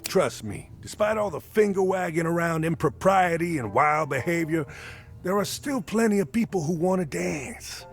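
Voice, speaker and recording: A man's voice: a little high, expressive, moderate pace, gravelly, soft background music. An actor playing an earnest, chipper male musician in a narrative game, speaking in a hesitant, folksy way. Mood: hopeful, earnest. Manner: hesitant, folksy, mild exclamations. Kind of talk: voiced dialogue in a narrative RPG.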